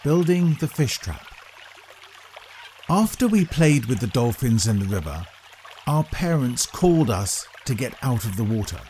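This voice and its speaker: A man narrating a scripted children's story in a deliberate, expressive storytelling manner. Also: mid-range voice, a little hiss or hum.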